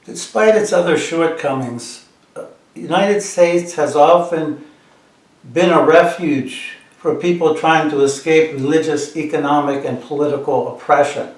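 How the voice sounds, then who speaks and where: A man's voice: fairly high pitch, measured pace. A man giving a prepared introductory speech as a presenter, recorded at a distance in an echoey space.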